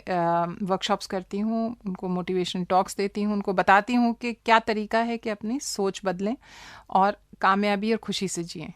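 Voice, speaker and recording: A woman's voice: mid-range voice, clear, resonant tone, no room sound, studio quality. An older woman giving conversational interview answers, mixing in English words as she talks. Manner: code-mixes English words into speech. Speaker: interview guest, motivational speaker.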